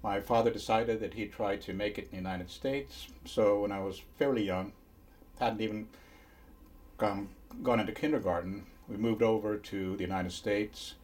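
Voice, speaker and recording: A man in his sixties from Finland, telling his life story in an interview at a measured pace with pauses. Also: fairly low pitch, fairly even intonation, darkish tone. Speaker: interviewee, criminologist. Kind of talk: reflective spoken memoir. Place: small indoor interview set.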